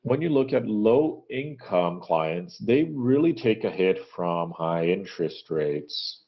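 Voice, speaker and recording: Low-voiced man on a dull conference call. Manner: halting, measured business delivery with frequent hesitations. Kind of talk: corporate earnings call presentation and question answering, unscripted.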